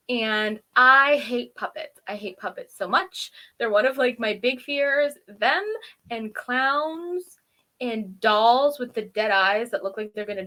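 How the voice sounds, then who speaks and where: Female review co-host, higher-pitched and very bright, rambling through a casual recap with frequent giggles. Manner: giggly, rambling, animated storytelling. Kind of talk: casual chatty episode recap.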